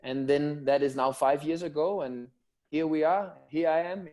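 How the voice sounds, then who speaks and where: A man's voice: fairly high pitch, moderate pace, a little mellow. A man telling his own story as an interview guest, in an earnest, reflective manner. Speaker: football academy founder and guest. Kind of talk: personal story told in a webinar interview.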